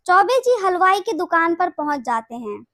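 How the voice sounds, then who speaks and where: A woman's voice: very high voice, very animated, moderate pace, fairly bright tone, dry recording, studio quality. A woman reading scripted narration for a children's bedtime story.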